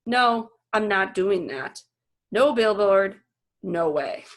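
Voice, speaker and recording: Woman as weary father, children's storybook, skeptical, reluctant, measured, slow delivery. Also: medium pitch, animated. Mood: firmly refusing. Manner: doubtful, measured. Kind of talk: children's novel read-aloud.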